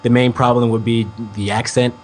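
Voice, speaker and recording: A young man from the United States speaks casually as an interview guest, his talk loose and rambling, filled with ums. His pitch sits in the middle range. A faint hum runs under the recording. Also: brisk. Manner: relaxed, rambling, many ums.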